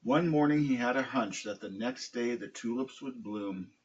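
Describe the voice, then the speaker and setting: A man with a husky voice reading a children's picture book aloud. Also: medium pitch, moderate pace, lo-fi sound, slight background noise. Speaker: storybook reader, dog owner.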